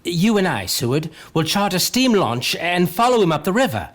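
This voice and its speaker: A man's voice: high-pitched, sing-song, normal speed, a bit bright, heavily acted. An actor playing an earnest man in a gothic vampire radio drama, with theatrical delivery. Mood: grave. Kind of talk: dramatic radio-play acting.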